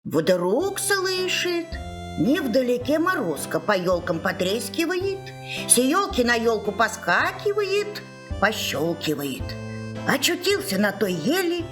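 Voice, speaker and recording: A woman storyteller giving an expressive scripted fairy-tale narration. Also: a little high, slow pace. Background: music.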